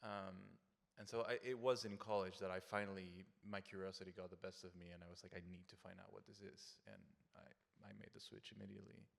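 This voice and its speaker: Gravelly low man in a reverberant hall. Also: lively intonation, fast talker. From Peru. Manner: hesitant, restarts often, reflective. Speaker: early music performer, guest. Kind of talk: panel interview answers. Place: concert hall stage, live event. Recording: long reverb of a concert hall.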